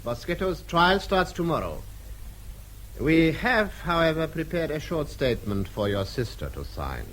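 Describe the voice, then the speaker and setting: An actor playing a cold, menacing senior official in a radio drama, speaking in a formal, clipped and precise way. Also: male voice, on the high side, big pitch swings, unhurried, fairly bright tone, exaggerated voice, poor audio. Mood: coldly insistent.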